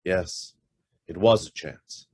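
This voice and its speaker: A man's voice: deep voice, slow pace, a little mellow. A man playing a guarded, brusque middle-aged head of an investment firm with a guilty past in a radio drama. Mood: bitter, reflective. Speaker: actor.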